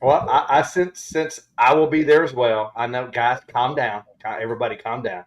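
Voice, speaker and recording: A man's voice: mid-range voice, fairly even intonation, normal speed, rough recording. Joking man hosting a stream chat. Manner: joking, profane. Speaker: livestream host. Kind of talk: casual interview chat.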